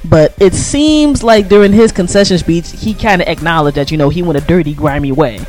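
A man's voice: on the high side, a little fast, darkish tone, soft background music. An American man co-hosting a radio show, giving casual political commentary in an emphatic, colloquial, slangy way.

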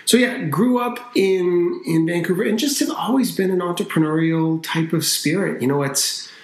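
A man in his thirties from Canada, a company founder talking about his life in a casual podcast interview, relaxed and chatty with lots of fillers. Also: high-pitched, moderate pace. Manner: relaxed, talkative, with frequent fillers and restarts. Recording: roomy echo.

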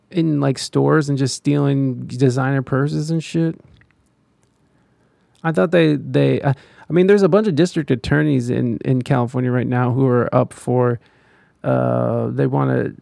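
A man's voice: mid-range voice, creaky, a little mellow, no echo. A man on a live stream, chatting casually and ranting, profane and rambling, with many restarts. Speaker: live streamer reading viewer comments.

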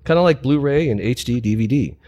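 An actor playing a nerdy, practical, enthusiastic gadget lover in an audio drama. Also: male voice, mid-range voice, animated, brisk, warm tone, natural voice.

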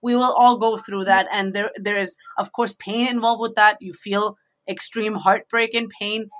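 Female discussion host, bright tone, conversational and emphatic, sharing advice informally. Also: medium pitch, steady tone, normal speed. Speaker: host in a two-person discussion.

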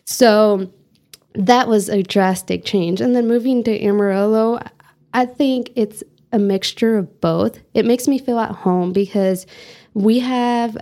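A woman's voice: mid-range voice, fairly even intonation, a little slow, warm tone. A young woman from the United States answering interview questions in an earnest, reflective way, with many fillers. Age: young adult. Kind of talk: oral history interview.

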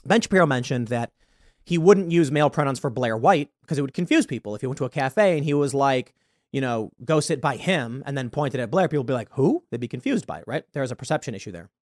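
A man hosting a commentary show, talking through his views in a halting way with many repeated words and sudden loud emphasis. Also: mid-range voice, animated, no room sound, studio quality. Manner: hesitant, repeats words, stresses points loudly. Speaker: show host. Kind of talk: political commentary monologue.

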